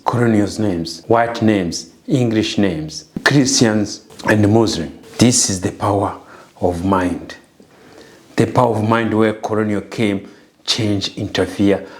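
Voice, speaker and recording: Casting: middle-aged man from Rwanda, slightly low voice, earnest and emphatic, repeats lines for weight. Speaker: vlogger. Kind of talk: personal message to camera.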